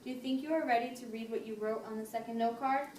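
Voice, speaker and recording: Lady acting as calm firm family therapist, amateur role-play, higher voice, lots of background noise, far off. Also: lightly acted. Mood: firm, reasoning. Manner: measured, formal, steering. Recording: noisy echoey room, distant mic.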